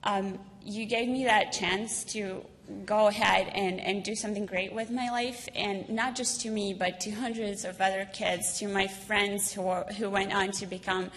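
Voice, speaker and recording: A woman of about thirty with a Ukrainian accent gives a personal speech as a guest at a dinner event, earnest and halting, repeating words as she goes. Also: medium pitch, steady tone, fairly bright tone. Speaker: guest speaker, school alumna. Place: large indoor event hall. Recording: noisy, reverberant hall recording.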